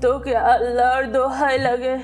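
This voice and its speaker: A woman's voice: sits high, completely flat delivery, normal speed, natural voice. A woman voicing a frail, loving old village mother in a sorrowful cartoon drama, with a plaintive, pleading manner. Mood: weak, pleading. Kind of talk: melodramatic cartoon voice acting. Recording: loud background music.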